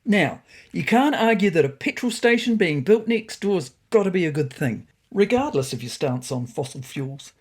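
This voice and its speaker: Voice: medium pitch, expressive, normal speed, few pauses, breathy edge. A narrator voicing a confident, opinionated male city cat from a storybook, chatty and salesman-like. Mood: brash, opinionated. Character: brash, opinionated, smooth-talking male visiting city cat.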